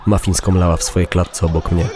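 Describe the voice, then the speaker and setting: A man narrating a darkly funny horror story in a dramatic, deadpan way. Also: sits low, a little fast.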